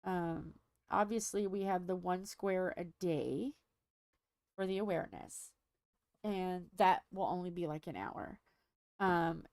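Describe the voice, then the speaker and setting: A woman's voice: on the low side, warm tone, clear, resonant tone, no echo. A middle-aged woman hosting a diamond painting livestream, chatting casually and rambling as she thinks aloud with many fillers, a little stuffy.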